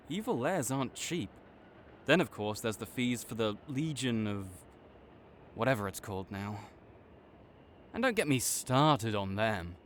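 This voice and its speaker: A man performs a proud male supervillain in an audio roleplay, talking straight to his nemesis. His delivery is unhurried with big swings in pitch. He sounds grudging and exasperated, yet awkwardly soft underneath. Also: lightly acted. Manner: tsundere, grudging, exasperated, stammering when sincere. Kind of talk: dramatic audio roleplay addressed to the listener.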